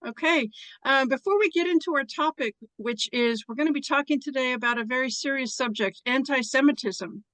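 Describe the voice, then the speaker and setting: A woman hosts an interview and asks her questions in a friendly, earnest way. Her voice sits a little high, and the recording is dry. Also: a little fast, fairly bright tone, no echo, low-quality recording. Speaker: show host. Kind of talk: hosting an interview.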